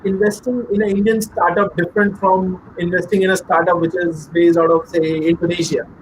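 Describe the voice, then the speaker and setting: High-voiced man moderating a webinar. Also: flat delivery, fairly quick, slight background noise, poor audio. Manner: brief, direct questions. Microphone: video call.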